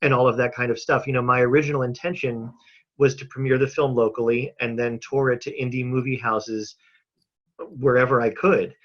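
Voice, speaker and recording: A man talking earnestly and casually as an interview guest on a video call from home, thoughtful and halting with emphatic pauses. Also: medium pitch, fairly even intonation. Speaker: interview guest, mental health advocate and filmmaker. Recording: slightly dull video-call audio.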